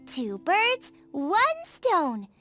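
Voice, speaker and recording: A woman's voice: sits high, big pitch swings, drawn-out words, music in the background, exaggerated voice. A woman voicing an upbeat, bossy young girl chef who gives eager instructions, in a game. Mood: instructive. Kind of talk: game voice acting. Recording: game soundtrack under voice.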